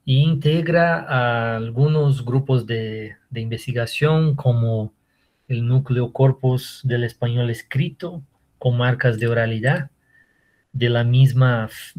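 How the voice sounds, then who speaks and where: A man's voice: medium pitch, a little mellow. A man moderating a workshop and informally introducing a guest, hesitant and often adding tag questions.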